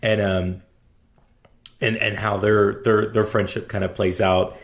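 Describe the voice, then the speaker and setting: A man hosting an interview, talking in a halting way with many fillers. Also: on the low side, flat delivery, vocal fry. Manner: halting, many ums and you-knows. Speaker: interview host. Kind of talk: casual interview talk.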